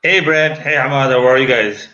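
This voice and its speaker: Bright, forceful man in his fifties. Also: medium pitch, moderate pace. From Kuwait. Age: 50s. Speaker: interview guest. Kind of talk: conversational personal storytelling. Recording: slightly dull video call.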